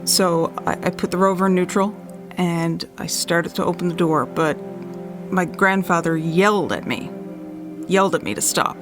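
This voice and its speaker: A woman playing a shaken eyewitness in an audio drama, speaking haltingly and repeating words as she recalls events. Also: lowish voice, over music, close to an everyday voice. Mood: haunted, hesitant. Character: shaken female eyewitness recalling a night drive. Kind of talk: audio drama testimony monologue.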